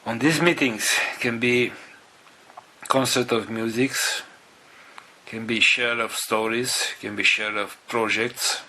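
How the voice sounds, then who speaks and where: Slow, halting man with a bright voice. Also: medium pitch, fairly even intonation, low-quality recording. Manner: halting, broken into short phrases with pauses; non-native English phrasing. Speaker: spiritual group organizer. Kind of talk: organizational announcement to a group.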